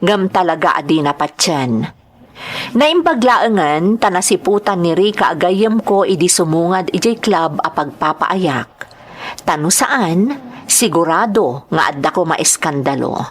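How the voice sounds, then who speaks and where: A woman's voice: fairly low pitch, lively intonation, unhurried. A woman with a Filipino accent playing an earnest young nightclub entertainer, telling her story expressively in a radio-style drama. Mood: earnest confiding.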